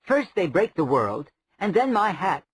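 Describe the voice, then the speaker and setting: A man voicing a sharp-tongued, scornful adventuring woman with a dark, wry manner. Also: sits high, sing-song, a little slow, husky, voice pushed up, exaggerated voice, low-quality recording.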